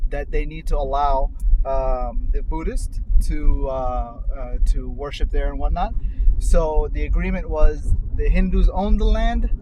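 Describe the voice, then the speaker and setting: Guy, kinda high voice, rambly casual chat in a car, lots of road noise. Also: a little slow. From born in India, at school in the United States. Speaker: co-host of a ride-along conversation show. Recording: very noisy moving car interior, road rumble. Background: car and outdoor traffic noise.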